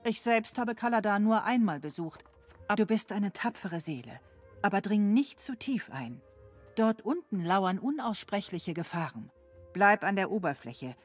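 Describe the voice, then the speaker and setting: A woman playing a solemn mentor in a fantasy game, earnest and measured as she advises and cautions. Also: medium pitch, animated, slightly rough, soft background music. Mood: grave warning. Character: solemn female mentor advising a brave adventurer.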